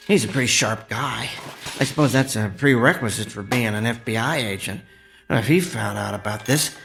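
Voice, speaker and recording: An actor in a radio drama playing a middle-aged, henpecked amateur inventor, fussy and excitable, given to muttering and meek stammering. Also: male voice, medium pitch, vocal fry. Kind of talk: comic radio drama acting.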